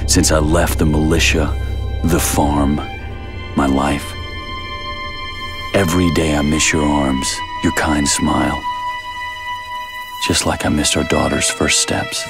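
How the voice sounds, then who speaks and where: A man playing a weary farmer and ex-militiaman, narrating his story in a grave, plain-spoken way. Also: a bass voice. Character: weary rural farmer and ex-militiaman, earnest drifter seeking work. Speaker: narrator and lead character. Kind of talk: dramatic scripted first-person narration. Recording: music bed under the voice. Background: prominent dramatic music.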